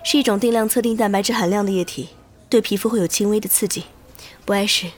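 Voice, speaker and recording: A woman playing a calm, clinical doctor who explains things plainly in an audio drama. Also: mid-range voice, fast, close to an everyday voice. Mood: clinical, reassuring. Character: matter-of-fact female doctor, calmly explaining.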